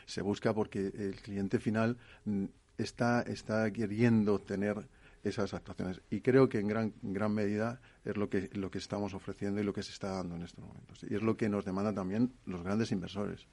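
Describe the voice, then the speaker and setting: A man from giving a halting, spontaneous answer as a panelist. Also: fairly low pitch, fairly even intonation, a little mellow.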